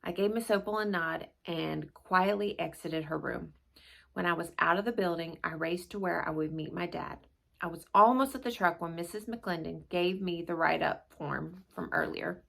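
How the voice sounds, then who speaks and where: Creaky-voiced woman reading to viewers. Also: lowish voice, normal speed, a bit bright. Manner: playful, informal asides. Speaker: read-aloud video host. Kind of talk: expressive children's storybook narration and casual chat to viewers.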